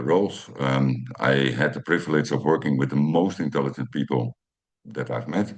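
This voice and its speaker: Very deep-voiced Dutch man in his fifties. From Netherlands. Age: 50s. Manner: hesitant, many ums and uhs, reads from notes. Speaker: channel host. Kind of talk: personal storytelling to camera.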